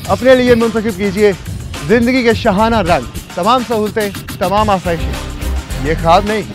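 A man voicing a persuasive property advertisement. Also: high-pitched. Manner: smooth, persuasive salesy delivery. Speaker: ad announcer. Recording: voice-over with backing music.

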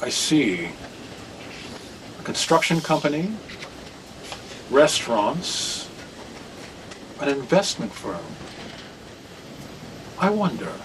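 An older man acting in a radio drama as an anxious, grave reverend with a deliberate, formal way of talking. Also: a little high, sing-song. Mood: worried. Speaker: radio play actor. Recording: distant, noisy video call audio.

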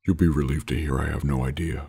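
A man putting on the slow, deep, breathy voice of a menacing masked male villain. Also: fairly even intonation, mellow, soft background music, full character voice. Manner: slow, low, threatening delivery.

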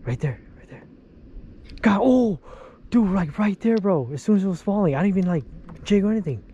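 Brief: young male fishing vlogger, animated higher voice, head-mounted camera mic, faint lake ambience. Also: brisk, lo-fi sound, slight background noise. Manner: excitable, shouts on catches, says dude and bro a lot. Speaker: fishing channel host. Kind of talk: excited casual fishing vlog. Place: outdoors on a boat on a lake. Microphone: action camera worn on head.

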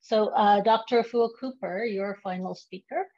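A woman moderating a panel over a video call, speaking in a measured, affirming way. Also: medium pitch, a little mellow, dull audio.

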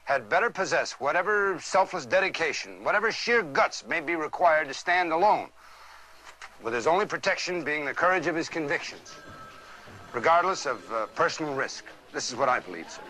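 A resolute, pompous politician, played by a man in a crime drama. His voice is fairly high and forceful, with a lively swing in pitch. He orates grandly as if on the stump. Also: moderate pace, low-quality recording. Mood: defiant, grandiose. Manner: oratorical, pompous. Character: resolute, grandiose male senatorial candidate.

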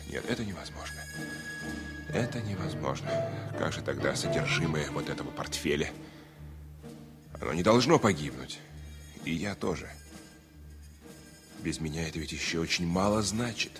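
Deep voiced lover on a plane in an old radio play, dramatic, anxious, swings up and down a lot. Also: male voice. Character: man passenger in love, playful then anxious and self-important. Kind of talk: radio play acting, 1970 Soviet radio drama.

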